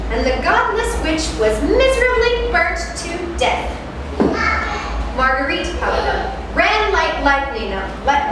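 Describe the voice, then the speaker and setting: A woman reading a fairy tale aloud as a storyteller, with dramatic, expressive narration. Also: high voice. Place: reverberant venue at a live event. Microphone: distant microphone. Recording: echoey, noisy room from far off.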